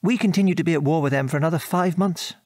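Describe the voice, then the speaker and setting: Man acting higher-voiced British ex-airman father, reminiscing. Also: darkish tone, no echo, studio quality, natural voice. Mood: wry reminiscing. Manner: articulate, measured storytelling with old-fashioned British turns of phrase. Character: former RAF bomber airman, reminiscing father, frank and aggrieved. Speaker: actor in an audio drama. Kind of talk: conversational audio drama reminiscence.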